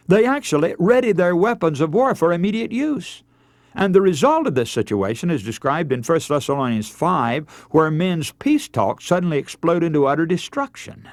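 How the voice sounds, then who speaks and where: A man's voice: a little high, very animated, moderate pace, no room sound, clean studio sound. A middle-aged man preaching a radio sermon in an earnest, emphatic, oratorical manner. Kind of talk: radio sermon with scripture readings.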